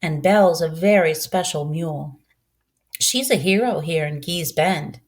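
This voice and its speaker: A woman voicing a kindly, proud old Southern farm woman who tells her story in a folksy, unhurried way for a storybook. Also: low-pitched. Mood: warm, welcoming.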